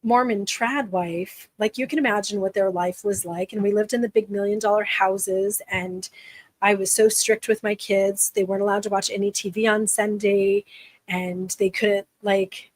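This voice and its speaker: A woman's voice: mid-range voice, fairly even intonation, moderate pace, dry recording, rough recording. A woman in her forties who is losing her voice, hosting a live chat and speaking candidly with frequent restarts. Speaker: livestream host. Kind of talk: casual live chat answering viewers.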